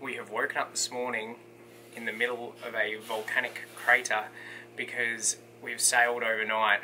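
A man's voice: medium pitch, level tone, moderate pace, pushed and bright. A man in his thirties casually chatting and joking while filming a travel vlog on a camera. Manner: joking, self-mocking banter. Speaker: travel vlogger. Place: outdoors on an island and in a ship cabin. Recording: noisy outdoor camera audio.

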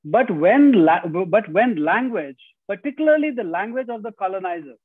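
A man's voice: high voice, lively intonation, moderate pace, husky, dry recording. Indian man lecturing over a tinny call. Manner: earnest lecturing, frequent "you know" fillers and restarts. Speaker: guest speaker. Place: home room, indoors. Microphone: video call with earbuds. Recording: dry, tinny video call audio.

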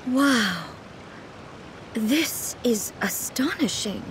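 An actress playing a dignified, earnest goddess ruler in a video game, speaking in a formal, measured, thoughtful way. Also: female voice, fairly high pitch, lively intonation, background noise. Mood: puzzled wonder. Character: dignified, earnest female deity-ruler, curious about the world. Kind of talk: video game story dialogue. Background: background music.

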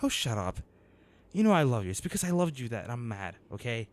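An actor playing a protective, worried male bodyguard in an intimate, dramatic audio roleplay, with halting, emotional delivery. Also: mid-range voice, very animated, natural voice. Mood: upset, loving.

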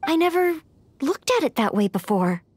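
A woman's voice: high voice, very animated, normal speed, darkish tone, dry recording. A woman voicing an earnest, polite young female knight-in-training in an anime-style video game. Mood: earnest, thoughtful.

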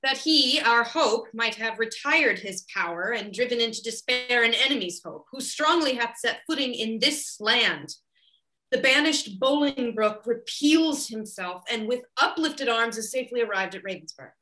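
An actress reading a courtier who brings grave news, over a video call. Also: female voice, fairly high pitch, animated, moderate pace, bright, forceful tone, low-quality recording. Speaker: actor in a staged reading. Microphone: video call webcam.

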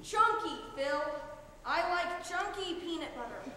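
Exasperated, very high-voiced wife, acted by a woman. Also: animated, unhurried. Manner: dry, impatient. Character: exasperated, sharp-tongued but loving young wife. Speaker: stage actor. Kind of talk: stage comedy acting. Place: theatre stage. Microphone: distant audience camera. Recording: echoey noisy theatre hall.

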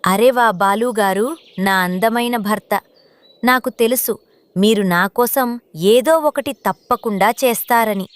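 A woman's voice: fairly high pitch, takes it slowly, dry recording. A woman voicing a haughty, conniving female crow wife in a children's cartoon story. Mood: admiring.

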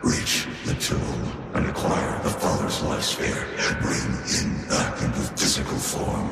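A cold, commanding maker-scientist. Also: male voice, very deep voice, a little slow, gravelly, exaggerated voice. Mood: instructive. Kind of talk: video game cutscene voice acting. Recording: roomy distant voice under loud game music.

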